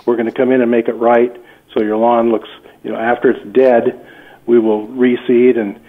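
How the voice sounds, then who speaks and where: A man's voice: medium pitch, flat delivery, normal speed. Homeowner guy on a tinny phone call, firm, asking questions like he can't believe it. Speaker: homeowner receiving a prank call. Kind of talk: phone conversation. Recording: tinny narrowband phone line.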